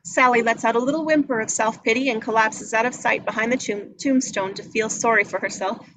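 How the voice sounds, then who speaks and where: A woman's voice: on the high side, a little fast, a bit bright, slight background noise, low-quality recording. A woman reading scripted action descriptions as narrator at a live table read.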